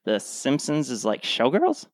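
A wry teen girl acted by a woman in a radio comedy, self-aware and protesting. Her lively, mid-pitched voice is close and dry. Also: a little slow, no echo, full character voice. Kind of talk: comic radio play acting.

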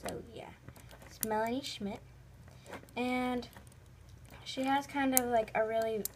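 Casting: female vlog host, slightly high voice, informal and rambling, unhurried, casual narration captured on a phone. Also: fairly even intonation. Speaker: host of a toy video channel. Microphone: phone held near the speaker. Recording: noisy handheld phone recording with clicks.

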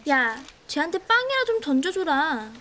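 Very high, snooty schoolgirl. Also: very animated, normal speed, pushed and bright, a little hiss or hum, full character voice. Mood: sneering. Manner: sneering, dismissive. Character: snooty, spoiled, taunting schoolgirl. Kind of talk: children's TV drama acting.

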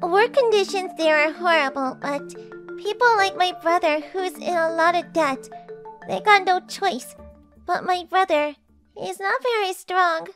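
A woman's voice: very high voice, a little slow, a bit bright, faint music behind. A woman voicing a worried, earnest little girl in an anime dub.

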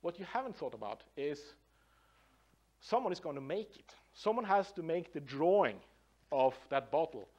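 A man's voice: fairly high pitch, very animated, moderate pace, darkish tone, highs cut off. Norwegian man giving a conference talk. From Norway. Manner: loose and conversational, many fillers and restarts. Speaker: conference speaker, design professor. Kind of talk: conference presentation. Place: large hotel conference room.

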